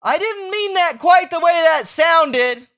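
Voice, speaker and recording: A woman voicing an excitable, stubborn young boy in a comic strip read aloud, with a dramatic, exclamatory manner. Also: extremely high pitch, slow, pitched above the performer's own voice, full character voice. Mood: frantic. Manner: dramatic, exclamatory, whiny when upset.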